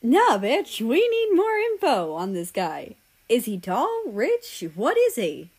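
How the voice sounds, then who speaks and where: Woman doing a brash, gossipy teenager, pitched up. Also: slow, breathy edge, heavily acted. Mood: demanding, excited. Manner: blunt, excitable, gossipy. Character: brash, nosy, teasing teenage friends in a gossiping group.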